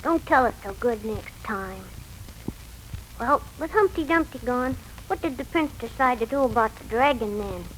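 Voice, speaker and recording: A woman's voice: on the high side, expressive, moderate pace, fairly bright tone, slight background noise, low-quality recording. A woman playing a small, curious, candid child in an artless manner, in a 1940s radio drama. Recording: old 1940s radio recording.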